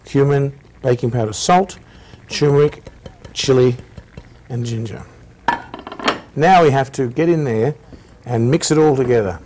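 A man's voice: mid-range voice, measured pace, darkish tone, slight background noise. Man hosting a cooking demonstration. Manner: friendly step-by-step instructions. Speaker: cooking show host.

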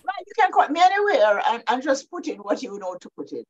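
An older Jamaican woman speaking as a panelist over a video call from her home study, deliberate and explanatory. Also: sits high, very animated, a little hiss or hum. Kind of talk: measured panel talk. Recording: dull lo-fi video call audio.

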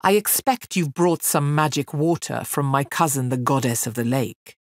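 A woman voicing a huge, mocking male fire fiend in a storybook reading, slow and booming. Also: dry recording, studio quality. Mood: commanding.

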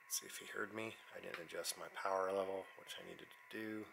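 Low-voiced American man, offhand. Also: quick pace, gravelly, poor audio. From United States. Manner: offhand, half talking to himself. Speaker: vlogger, amateur radio hobbyist. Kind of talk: casual vlog narration while operating radio. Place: unfinished basement with metal shelving. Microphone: handheld camera mic at arm's length. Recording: steady background noise, handheld camera audio.

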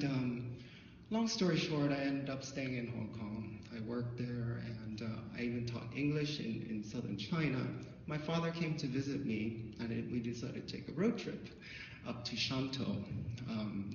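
A middle-aged man from Thailand whose first language is Thai, telling a personal story at a symposium. He talks through a handheld mic in a dim hall, haltingly, with many fillers and restarts. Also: medium pitch, a little hiss or hum. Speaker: artist presenter. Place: dim hall with projector screen. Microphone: handheld microphone, filmed from afar. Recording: distant, echoey, dull hall audio.

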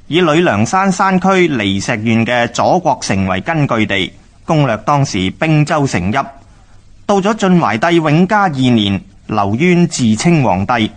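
A man with a Hong Kong accent narrating history from a script in a formal, expository manner. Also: medium pitch.

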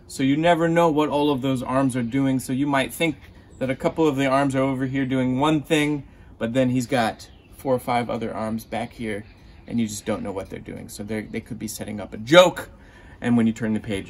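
A man in his thirties, a children's author chatting to kids in an animated storytelling style. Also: mid-range voice, moderate pace, reverberant.

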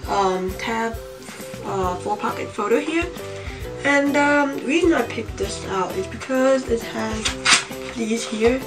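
A young woman of about eighteen with a slight cold, talking casually and haltingly to camera as she shows things in a room at home. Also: a little high. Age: young adult, about 18. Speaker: vlogger. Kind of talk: casual show-and-tell to camera. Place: small home room, indoors. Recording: distant mic, music behind.

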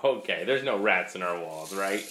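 A father filming his young son in a basement, chatting playfully and asking gentle questions. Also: sits low, lively intonation, moderate pace, slight background noise. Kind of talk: casual home-video chat with a child. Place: concrete-block home basement, indoors. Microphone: handheld camera held by the speaker.

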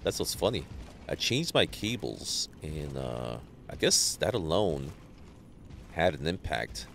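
A man streaming a game live, chatting casually with viewers and greeting them by name, sounding a little stuffy from allergies, on a boom mic. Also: slow pace, creaky, slight background noise. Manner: greets chat viewers, relaxed banter. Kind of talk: casual live gameplay chat.

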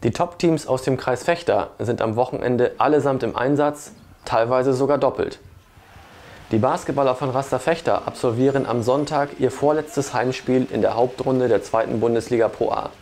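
A male sports reporter delivers a scripted preview in a studio. His voice sits at a middle pitch for a man, and he sounds like a presenter reading prepared copy. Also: normal speed, darkish tone.

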